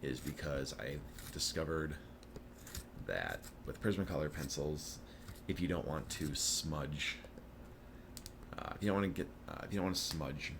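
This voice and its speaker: A man talking softly in an ASMR drawing video, relaxed and slow, slipping into whispers now and then. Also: creaky, background noise. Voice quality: deep voice. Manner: soft-spoken, slow and relaxed, drifting into whispers. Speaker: ASMR artist. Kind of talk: soft-spoken ASMR chat while drawing.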